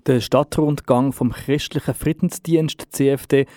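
A man presents the news with a crisp, formal reading. His voice sits at a middle pitch and sounds dry and close, as in a studio booth. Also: steady tone, measured pace, dark tone, no room sound.

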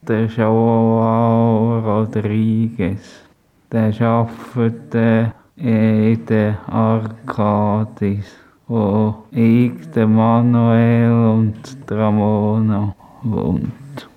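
A man, a host introducing a guest with a slow, flat delivery, heard over tinny phone-like sound. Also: on the low side, clear, resonant tone. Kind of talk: show introduction.

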